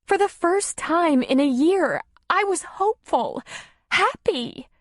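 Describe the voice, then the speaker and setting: A hurt, demanding woman, voiced by a female narrator pleads in a high, insistent and tearful tone. Her pitch swings widely, and the recording is dry and close. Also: big pitch swings, moderate pace, no echo, heavily acted.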